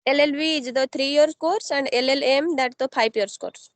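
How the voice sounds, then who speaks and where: Guest: woman from Odisha, Odia native, high voice, plain careful English, dry close sound. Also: normal speed, no echo. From Odisha, India. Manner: simple, careful non-native phrasing. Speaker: guest, advocate. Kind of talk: casual English practice conversation.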